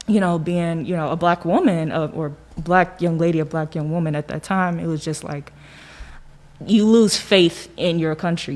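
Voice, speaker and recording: Young American woman, low register, colloquial, animated, conversational. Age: young adult. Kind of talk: casual conversation, personal reflection.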